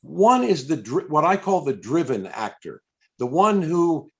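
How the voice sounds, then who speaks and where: A man conducting a conversational interview over a video call, asking long, musing questions in a halting, rambling way as he thinks aloud. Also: on the high side, big pitch swings, creaky. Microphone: video call microphone.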